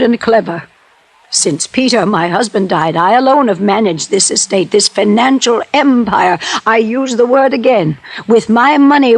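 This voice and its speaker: An actress playing a wealthy, shrewd older widow in a 1950s radio drama, formal and emphatic with a husky voice. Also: female voice, mid-range voice, dry recording.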